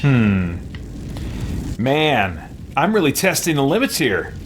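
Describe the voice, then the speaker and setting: A man's voice: medium pitch, sing-song, slow, background noise. A man giving casual let's play commentary as host, thinking aloud in a muttering way. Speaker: gaming video host.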